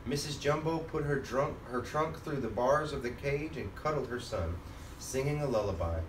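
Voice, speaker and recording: A man reading a children's storybook aloud as a father and host, in an expressive manner. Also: medium pitch, normal speed. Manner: expressive, acts out character lines. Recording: roomy, distant mic, faint background noise.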